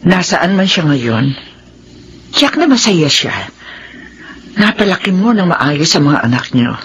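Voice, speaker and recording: A woman's voice: lowish voice, big pitch swings, measured pace, highs cut off, slight background noise. A woman playing a kindly, patient middle-aged aunt in a Filipino radio drama, motherly and reassuring. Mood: concerned, consoling.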